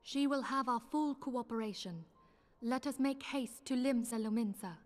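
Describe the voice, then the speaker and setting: A woman's voice: a little high, moderate pace, a little mellow, faint music behind, lightly acted. An actress playing an earnest, resolute noblewoman who leads a fantasy order in a video game, with stately old-fashioned speech. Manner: formal, stately archaic diction. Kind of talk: dramatic video game voice acting.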